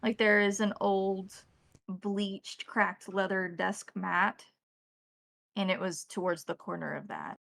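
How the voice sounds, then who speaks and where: A woman running a tabletop roleplaying game as game master, narrating in a measured, deliberate way. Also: medium pitch, steady tone, creaky, fairly bright tone, no echo, clean studio sound.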